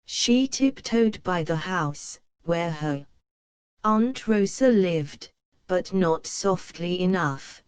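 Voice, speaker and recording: A woman narrating a children's storybook in a slow, clear read-aloud manner. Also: fairly low pitch, lively intonation, no echo.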